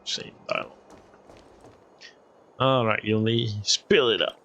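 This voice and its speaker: Male game streamer, casual and musing, creaky mid-pitched voice. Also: steady tone, slow pace, pushed and bright, dull audio. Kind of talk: casual live game commentary.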